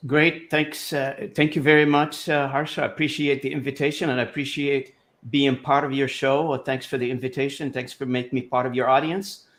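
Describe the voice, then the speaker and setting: A man from Lebanon in his fifties, a guest telling his life story in a relaxed interview over a video call, rambling with lots of fillers and restarts. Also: mid-range voice, brisk.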